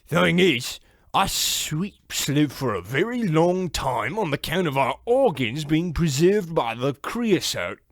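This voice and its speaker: A man's voice: on the high side, very animated, takes it slowly, no room sound, clean studio sound, heavily acted. A man playing a cocky, chatty little monstrous chimney sweep in an old-time radio horror comedy, telling tales with swagger and British slang. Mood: gleefully explaining.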